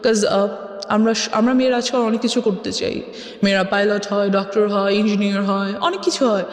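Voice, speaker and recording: A woman's voice: mid-range voice, brisk. A young woman with a Bangladeshi accent giving a conversational personal account as an interview guest, mixing in English phrases. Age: young adult. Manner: mixes English phrases into her talk. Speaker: interview guest telling her life story. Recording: echoey with long reverb.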